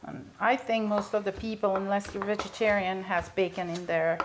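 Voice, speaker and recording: A woman's voice: mid-range voice, level tone, normal speed, a loud crowd, low-quality recording. A middle-aged woman from Belgium, hosting a casual cooking show in a home kitchen. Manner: friendly, chatty, slightly non-native phrasing. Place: large home kitchen, indoors.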